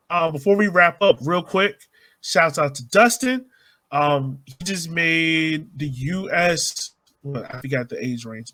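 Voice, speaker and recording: A man's voice: on the high side, sharp tone, no echo. A man hosting a sports podcast, chatting informally and using slang. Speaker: podcast host. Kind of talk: casual sports podcast chat.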